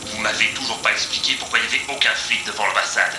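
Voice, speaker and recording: A man's voice: lowish voice, steady tone, fast talker, lightly acted. A man playing a bitter, blunt undercover informant in an audio drama. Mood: exasperated. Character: bitter, foul-mouthed male undercover informant. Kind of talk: French audio fiction acting. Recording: roomy echo, music underneath.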